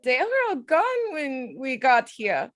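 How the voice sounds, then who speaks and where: A woman playing a gentle, shy young misfit antihero in a script read-through. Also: high-pitched, big pitch swings, slow pace, no room sound, clean studio sound, full character voice.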